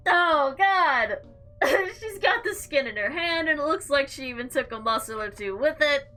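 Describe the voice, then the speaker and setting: High, bright-voiced woman gamer, very animated. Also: moderate pace. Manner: animated, exclaiming, slangy commentary. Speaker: gaming video host. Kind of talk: visual novel playthrough, reading text aloud with excited reactions. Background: music.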